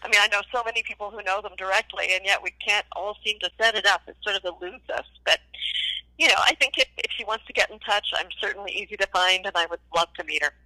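Bright, effusive older woman on a call. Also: medium pitch, fast talker, dry recording, poor audio. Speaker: interview guest, former child actress. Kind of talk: casual interview chat. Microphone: remote call.

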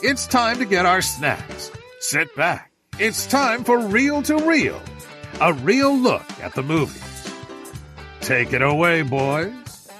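Slow male announcer over guitar music. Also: high voice, very animated. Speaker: segment announcer. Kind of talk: scripted show intro announcement.

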